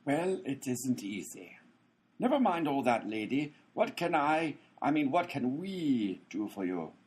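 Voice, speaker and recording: A performer acts out a cranky postal clerk in a radio comedy, with a husky voice that swings widely in pitch. The delivery is flippant, sarcastic and theatrical, with impatient jabs. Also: big pitch swings, unhurried, a little mellow.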